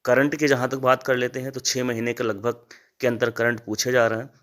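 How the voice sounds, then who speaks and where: A man's voice: mid-range voice, level tone, fairly quick, a bit bright. A man informally briefing students as a teacher, repeating points as he explains. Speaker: exam-prep teacher and channel host. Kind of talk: informal exam analysis addressed to students.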